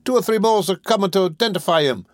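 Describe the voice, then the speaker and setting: A man puts on a cheeky young pickpocket from a storybook, easily worked up. The voice sits high and leaps around with emphatic, slangy exclamations. The sound is dry and close. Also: lively intonation, fast talker, no room sound. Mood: woeful. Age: young adult. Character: excitable, mournful young male pickpocket, cheeky.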